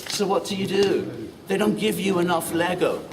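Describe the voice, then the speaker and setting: A man guiding a walking tour outdoors, lively and quizzing the group with questions. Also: high voice, normal speed, a crowd in the background. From Ireland. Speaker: tour guide. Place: outdoors in old city streets. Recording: distant mic, street noise.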